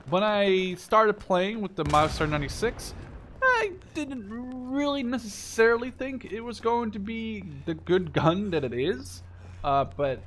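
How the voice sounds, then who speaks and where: Higher-voiced young man over game gunfire. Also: a bit bright, a little hiss or hum. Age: 20s. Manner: opinionated, profane, emphatic. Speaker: gaming video host. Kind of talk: casual, rambling gameplay commentary. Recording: game gunfire and clicks behind voice. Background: video game gunfire, clicks.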